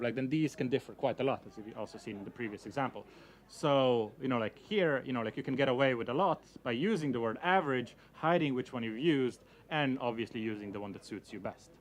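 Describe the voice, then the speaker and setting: Man, native Slovenian speaker, casual presentation, lots of fillers, mid-pitched voice. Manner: hedging, many fillers like "you know, like". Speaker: speaker giving a talk. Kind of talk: casual conference talk.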